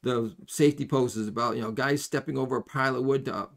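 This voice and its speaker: A middle-aged man giving a casual hands-on soldering tutorial, folksy and halting as he thinks aloud. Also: medium pitch, steady tone, gravelly. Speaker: electronics soldering instructor. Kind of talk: hands-on tutorial, explaining casually while working. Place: indoors at a workbench.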